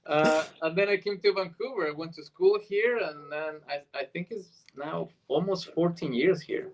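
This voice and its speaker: Higher-voiced man from Argentina, chatting casually. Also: moderate pace, muffled recording. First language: Spanish. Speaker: interview guest, actor. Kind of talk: casual chat, storytelling.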